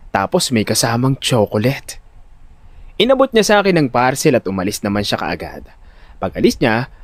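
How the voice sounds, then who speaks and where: A man with a Filipino accent playing a jittery, vain but good-natured young everyman who tells his own story in an audio drama, expressive and dramatic. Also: mid-range voice, no echo, close to an everyday voice. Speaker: narrator and lead actor. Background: music.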